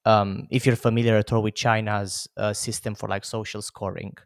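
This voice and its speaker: A man in his thirties from Romania hosts a podcast in a loose, chatty way, filling his talk with "like" and "you know." His voice sits low, and the recording is dry and close. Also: level tone, moderate pace, gravelly, no room sound. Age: 30s. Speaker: podcast host. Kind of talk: casual conversation.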